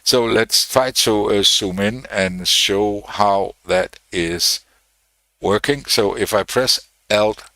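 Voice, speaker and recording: Danish man, slow unhurried tutor, low voice, dry close sound. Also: dry recording. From Denmark. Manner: relaxed, unhurried, many fillers and restarts. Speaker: electronics channel host. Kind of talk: live-streamed PCB design tutorial.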